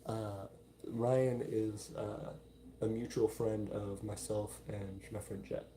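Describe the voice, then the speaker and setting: Mellow-voiced man hosting a casual livestream. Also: on the low side, little pitch movement, slow, a little hiss or hum. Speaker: livestream host. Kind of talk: casual livestream chat, reading out and answering viewer comments.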